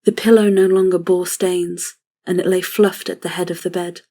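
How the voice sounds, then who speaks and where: Low, even-toned woman narrating a story. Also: moderate pace.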